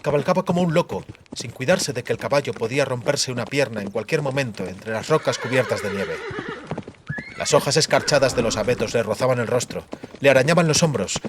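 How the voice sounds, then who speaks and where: A man's voice: medium pitch, animated, fairly quick, noisy background. A man narrating fantasy fiction as a dramatic, scripted audiobook.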